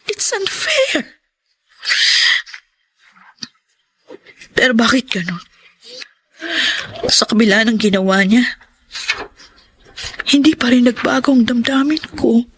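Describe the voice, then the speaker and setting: An actress playing a betrayed, jealous wife, heartbroken and bitter yet still in love, in an intense, emotional radio drama style. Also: female voice, high voice, a bit bright, husky, heavily acted. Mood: wounded longing. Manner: emotional, intense, anguished delivery. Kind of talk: melodramatic Tagalog radio drama acting.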